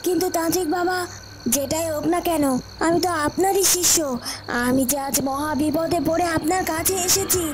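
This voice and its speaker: A woman's voice: extremely high pitch, fairly even intonation, brisk. A woman voicing an anxious, pleading witch and tantric's disciple in a melodramatic cartoon style, often fretting in questions. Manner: pleading, fretful, asking herself questions. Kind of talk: melodramatic Bengali cartoon voice acting.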